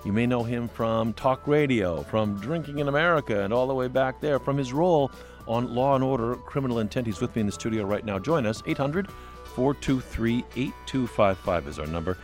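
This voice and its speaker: A man hosting a public radio call-in show from the studio, measured when scripted and stumbling on questions. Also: medium pitch, faint music behind. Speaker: radio talk show host. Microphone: studio microphone.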